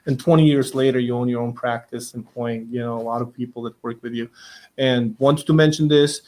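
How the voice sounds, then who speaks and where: A man's voice: medium pitch, steady tone, brisk, darkish tone. Casual man, hosting. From Bosnia. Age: 30s. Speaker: interview host. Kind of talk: casual conversational interview.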